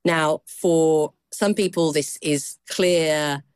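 A woman correspondent explaining the news in a measured conversational way, with thinking pauses. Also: low voice, fairly even intonation, no room sound.

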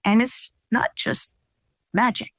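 A woman's voice: fairly high pitch, steady tone, takes it slowly, fairly bright tone, dry recording, clean studio sound. A woman scientist whose first language is Italian, explaining her research in an interview with many fillers and restarts. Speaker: scientist guest. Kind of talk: interview answers.

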